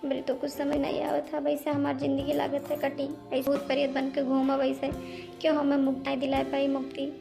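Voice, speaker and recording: A woman's voice: high voice, completely flat delivery, fairly quick, creaky. A woman voicing a moody, sorrowful ghost witch in a young bride's body for a cartoon comedy, with an Awadhi accent and rustic, plaintive talk. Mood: sorrowful, brooding. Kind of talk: amateur cartoon comedy voice acting. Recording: music behind the voice.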